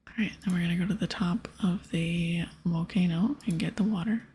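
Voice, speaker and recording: A woman whispering softly and breathily in a relaxed murmur as she hosts an ASMR game playthrough, thinking aloud. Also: on the low side, a little fast, slight background noise. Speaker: gaming ASMR host.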